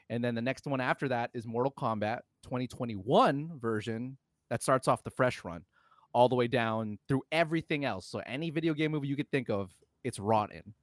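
A man's voice: medium pitch, no echo, clean studio sound. A man co-hosting a casual film podcast, talking in an emphatic, exasperated, sweary way. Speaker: podcast co-host. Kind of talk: casual opinionated film discussion.

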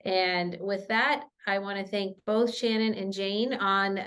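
A woman hosting a podcast, wrapping up in a relaxed conversational way. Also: mid-range voice, slow. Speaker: podcast host.

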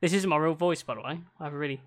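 A man's voice: a little high, rapid-fire. A man hosting a casual podcast from home over a video call, rambling and swearing freely with lots of restarts. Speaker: podcast host.

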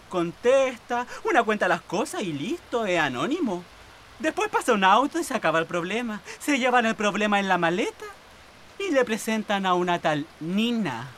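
Female housemaid role for radio drama: Chilean, naive schemer, excitable, very animated intonation, bright tone. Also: medium pitch, a little hiss or hum. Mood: coaxing.

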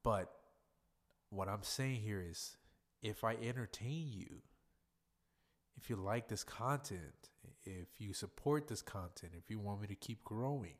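A man with a somewhat low voice hosts his own podcast, rambling casually on his own. He is congested and sounds plainly nasal, and he swears freely as he talks. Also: unhurried. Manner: rambling, profane, loose and chatty. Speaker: podcast host. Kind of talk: casual solo podcast monologue.

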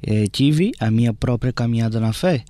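A young man from Brazil, a newly ordained priest with a Brazilian Portuguese accent, giving an earnest, halting interview testimony with frequent tag questions. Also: mid-range voice, expressive, warm tone, dry recording.

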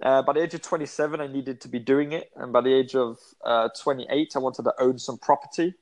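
A man's voice: medium pitch, steady tone, a little fast. Rambling young man with many fillers. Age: 20s. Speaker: guest. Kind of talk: casual interview chat.

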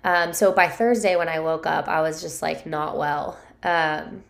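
A woman, hosting her podcast solo with a hoarse voice while recovering from a sore throat, rambling candidly with many fillers. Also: deep voice, steady tone, a little slow. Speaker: podcast host. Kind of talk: casual solo podcast chat.

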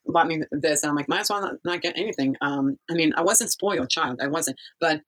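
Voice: mid-range voice, fairly quick, fairly bright tone. A person from Kazakhstan telling a life story in a casual interview, rambling and often losing the thread.

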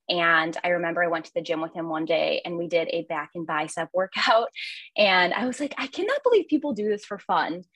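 A woman's voice: fairly low pitch, a bit bright, no room sound. A young woman who coaches nutrition, speaking as a podcast guest in a friendly, thoughtful way with many fillers. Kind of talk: casual podcast interview.

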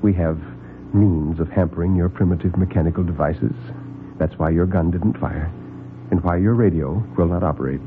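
A man's voice: sits low, faint music behind, voice pushed down, lightly acted. Man doing a suave, menacing doctor. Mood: suave menace. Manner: slow, silky, overly polite menace. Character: calm sinister male doctor, smooth, superior. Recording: tinny lo-fi old radio broadcast.

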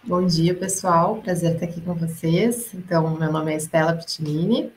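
Low-pitched woman guest expert, calm and friendly, slight hiss. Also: normal speed. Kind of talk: online panel introduction. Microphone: video call.

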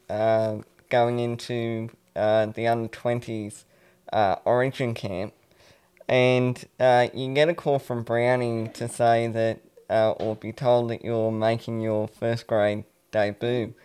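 A man co-hosting a podcast, asking measured questions. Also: mid-range voice, steady tone, a little mellow, clean tone. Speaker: podcast co-host. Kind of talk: casual podcast interview.

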